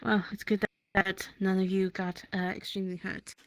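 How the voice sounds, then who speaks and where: A woman's voice: mid-range voice, little pitch movement, moderate pace, fairly bright tone, no room sound. A woman roleplaying a town medic in improvised dialogue, speaking in a hesitant, matter-of-fact way. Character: town medic tending a wounded hunter.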